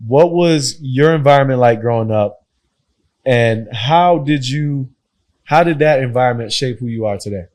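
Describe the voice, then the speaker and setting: A man's voice: mid-range voice, moderate pace, darkish tone. A man who grew up between Haiti and Miami, hosting a podcast interview in a casual, affirming way and often repeating his phrases. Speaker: podcast host.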